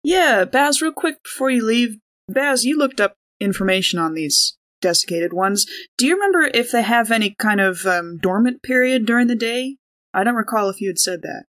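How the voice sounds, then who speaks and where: A woman's voice: a little high, lively intonation, moderate pace, fairly bright tone. A woman talking casually as a player in a tabletop role-playing game.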